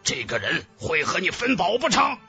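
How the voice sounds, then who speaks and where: A man's voice: sits high, wildly swooping pitch, normal speed, vocal fry, sharp tone, breathy edge, faint music behind, heavily acted. A man playing a sneering, arrogant villain. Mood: accusing. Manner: sneering, accusing. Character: sneering, menacing male villain, an arrogant archer.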